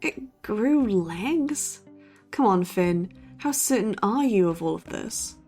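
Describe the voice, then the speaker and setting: A skeptical young college student co-hosting a true-crime podcast in an audio drama. Her voice sits at a middle pitch, with a dry, sardonic and analytical manner. Music plays faintly underneath. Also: expressive, takes it slowly, mellow. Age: young adult. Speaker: podcast co-host. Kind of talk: scripted banter in a horror audio drama.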